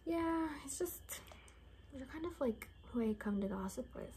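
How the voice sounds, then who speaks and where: A young woman doing an ASMR roleplay in soft, half-whispered, gossipy rambling chat. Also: high voice, expressive, moderate pace, a little hiss or hum. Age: young adult. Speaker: ASMR performer. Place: small bedroom, indoors.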